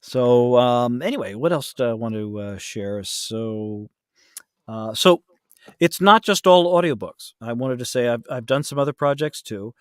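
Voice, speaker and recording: A man's voice: mid-range voice, lively intonation, a little slow, clear, resonant tone, dry recording. Man telling anecdotes in casual chat, dry sound. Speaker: podcast guest, voice actor.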